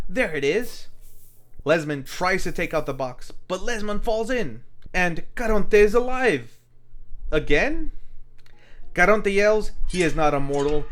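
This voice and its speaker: A man's voice: on the high side, fairly bright tone. A man hosting a film review, reading a scripted plot recap and giving the dialogue dramatic emphasis.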